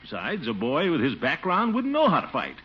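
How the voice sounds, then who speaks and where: Swooping, theatrical fussy uncle. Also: male voice, on the high side, huge pitch swings, fairly quick, husky, exaggerated voice. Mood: earnest, explaining. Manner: theatrical, with swooping exclamations and chuckles. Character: fussy, fond middle-aged uncle, a pompous civic official. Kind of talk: 1940s radio comedy acting. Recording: muffled, noisy old radio recording.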